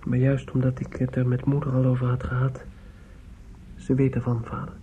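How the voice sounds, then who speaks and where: An actor playing an earnest, respectful young son in a radio drama, speaking hesitantly and deferentially. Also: male voice, mid-range voice, monotone, normal speed, natural voice. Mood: nervous, earnest. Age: young adult. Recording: muffled, noisy old radio play recording.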